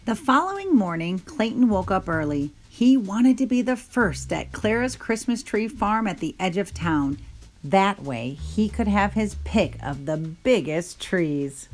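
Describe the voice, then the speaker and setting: A woman reading a children's picture book aloud in an expressive, gentle storytelling manner. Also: medium pitch.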